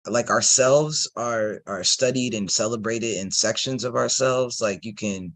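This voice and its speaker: A man speaking as a panelist in a conversational discussion, phrasing thoughts in a thoughtful, measured way. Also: medium pitch, a bit bright.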